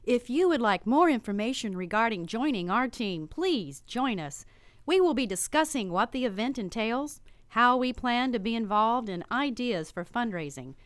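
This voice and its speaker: A female promo announcer reads a scripted radio appeal. Her voice is fairly high and very expressive in its melody, recorded dry and close. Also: moderate pace, no echo.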